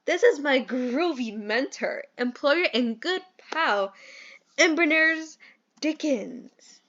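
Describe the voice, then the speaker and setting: A woman's voice: high-pitched, animated, slow pace, a bit bright, dull audio, full character voice. A woman voicing an eager but sheepish young male filmmaker with groovy slang and a hesitant, rambling manner in a comic audio drama. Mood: nervous.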